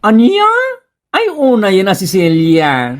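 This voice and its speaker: A woman's voice: mid-range voice, huge pitch swings, a little slow. A Filipino woman with an Ilocano accent playing a nagging, exasperated village neighbor in a comedy radio drama. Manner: scolding, exclaiming.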